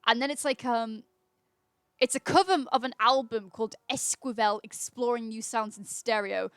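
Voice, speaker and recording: A woman's voice: on the high side, normal speed, a bit bright, clear, resonant tone, no room sound. A woman hosting a casual livestream, reading aloud in an informal, chatty way. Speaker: livestream host. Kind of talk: casual livestream read-aloud with chat replies.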